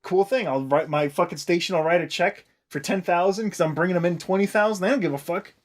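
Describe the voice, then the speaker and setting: A man's voice: high-pitched, fast talker. A man hosting a podcast in casual comedic chat, swearing freely with an irreverent, joking manner. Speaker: podcast host.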